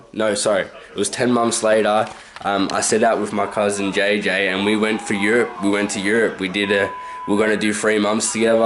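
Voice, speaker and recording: Aussie guy in his 20s chatting away to his camcorder at home, kinda low voice, keeps correcting himself and chuckling. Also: a bit bright. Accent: Australian. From Australia. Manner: rambling, self-correcting, chuckles often. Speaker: vlogger. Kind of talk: casual story-time monologue. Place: his own house, indoors. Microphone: handheld camcorder.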